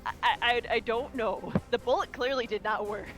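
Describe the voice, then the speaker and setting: A woman's voice: on the high side, normal speed, a bit bright, faint music behind, poor audio. A woman playing a tabletop roleplaying game, talking casually and thinking through her moves in a tentative, questioning way.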